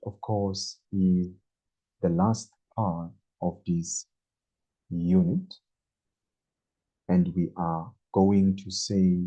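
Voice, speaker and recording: Very slow, deep-toned male English teacher. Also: dark tone. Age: 20s. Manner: very slow, deliberate, repeats each number. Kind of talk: beginner English lesson. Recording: dull lo-fi recording.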